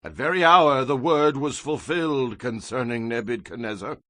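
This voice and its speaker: A man's voice: medium pitch, normal speed, bright, forceful tone, dry recording. A man giving a solemn, theatrical scripted narration as a Bible narrator.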